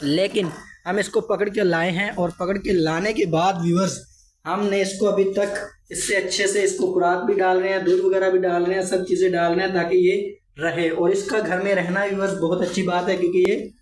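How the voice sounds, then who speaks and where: A man's voice: high voice, level tone, fairly quick. A man from Pakistan, vlogging casually to his viewers with a sore throat from a cold. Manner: chatty, addresses viewers often. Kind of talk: casual vlog narration to viewers. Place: hot store room in a village house.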